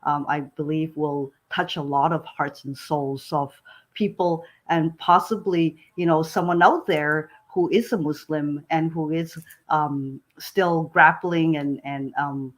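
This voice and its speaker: A woman from Malaysia, co-hosting, speaking warmly but hesitantly, with many ums and restarts. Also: deep voice, steady tone, slow pace. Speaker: co-host. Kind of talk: casual spoken remarks.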